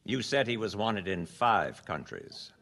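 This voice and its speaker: Casting: easily rattled husband, husky and creaky, very expressive, defensive, radio detective drama. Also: male voice, lowish voice, unhurried, full character voice. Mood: puzzled. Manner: hesitant, defensive, anxious to comply. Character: nervous, naive, easily flustered married man. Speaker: actor in a radio mystery.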